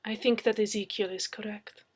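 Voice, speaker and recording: A woman's voice: mid-range voice, fairly even intonation, moderate pace, lightly acted. A woman voicing a bold, scolding foreign woman in an audiobook, speaking blunt broken English with dropped articles. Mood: firm, scolding. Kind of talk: dramatic audiobook character reading.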